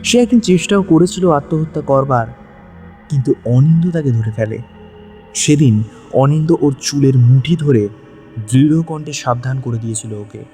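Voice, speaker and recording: A man's voice: mid-range voice, moderate pace, warm tone. A man narrating a scripted dramatic audio story. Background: background music.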